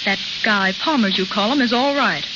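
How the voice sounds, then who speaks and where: An actress plays a cool, poised young female crook in a radio melodrama, able to sound like a well-bred daughter. Her voice sits at a medium pitch. The recording is dull and heavily hissy. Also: a little fast, lightly acted. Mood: cool, businesslike. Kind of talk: 1940s radio acting. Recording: dull, very noisy old radio broadcast.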